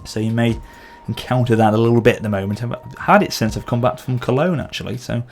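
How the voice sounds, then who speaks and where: A man's voice: mid-range voice, fast talker, vocal fry, soft background music. Man with a sore throat, muttering along. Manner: thinks aloud, self-correcting, muttering to himself. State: sore throat with occasional coughing. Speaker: gameplay channel host. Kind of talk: casual strategy-game commentary.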